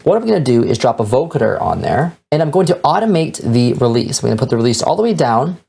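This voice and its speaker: A man presents a casual how-to lesson on music production. His voice sits in a middle register and moves up and down a lot, giving the step-by-step narration an animated contour. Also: medium pitch, fast, gravelly, husky. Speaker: music production tutor and channel host. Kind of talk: casual screen-recorded tutorial narration.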